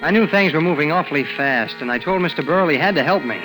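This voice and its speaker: A man's voice: fairly high pitch, expressive, fast talker, close to an everyday voice, poor audio. A young man playing a nervous, evasive teenage boy who narrates his story in 1940s radio drama style, earnest and boyish with a hesitant delivery. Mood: earnest, uneasy. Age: young adult. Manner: boyish, earnest, hesitant stammering under pressure. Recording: vintage 1940s radio recording with music.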